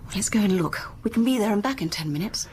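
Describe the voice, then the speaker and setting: A woman acting as a determined, inquisitive English wife in a radio play. Her voice is mid-pitched, with a brisk and sensible manner. The recording carries faint background noise. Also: expressive, a little mellow, natural voice. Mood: curious, decided.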